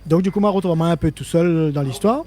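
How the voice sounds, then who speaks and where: A man's voice: fairly high pitch, quick pace, dark tone. An older man from Réunion speaking French with a Creole-inflected accent, a musician guest in a casual live interview who rambles, restarts and laughs often.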